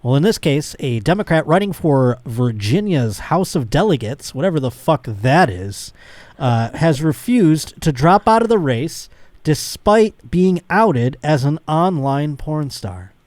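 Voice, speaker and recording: A man's voice: fairly high pitch, very animated, unhurried, a little mellow, no room sound. Rambling man chatting on a comedy podcast. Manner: rambling, profane. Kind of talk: casual comedic chat.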